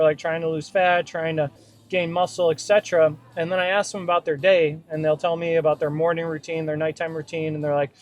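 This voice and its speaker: Fitness coach, male, 30s, a touch high-pitched, blunt and energetic, casual to-camera delivery, faint music bed. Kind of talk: casual direct-to-camera talk.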